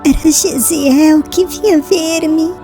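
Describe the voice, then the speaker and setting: A woman acts out a serene young woman on her deathbed in a solemn audiobook drama. Her voice is high and soft-edged, with little creak. She speaks very slowly and haltingly, tender and devout, as if spending her last breath, over faint music. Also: fairly even intonation. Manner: slow, halting, breathless last-words delivery.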